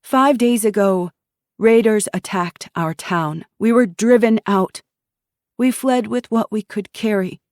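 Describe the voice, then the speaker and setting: A woman's voice: mid-range voice, a little slow, no room sound, studio quality, voice pushed up, lightly acted. A woman narrator voicing a young refugee woman, a nursing student who tells steadily of her town being attacked. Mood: weary. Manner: weary, steady.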